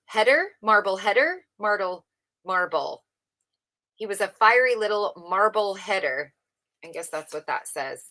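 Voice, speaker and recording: A woman's voice: medium pitch, sharp tone. A woman livestream host reading a book aloud unrehearsed, informally, stumbling over words and correcting herself as she adds casual commentary.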